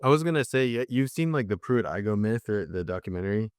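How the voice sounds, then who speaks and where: A man co-hosting a podcast, explaining things casually in a halting, loosely phrased way. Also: fairly low pitch, lively intonation, clear, resonant tone, dry recording, clean studio sound.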